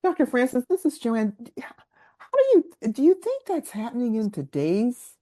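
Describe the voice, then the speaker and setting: A woman's voice: on the high side, moderate pace. A woman podcast co-host asking a guest questions in a hesitant way, with restarts and rising emphasis. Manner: hesitant, restarts and animated rises when asking. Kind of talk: interview questions.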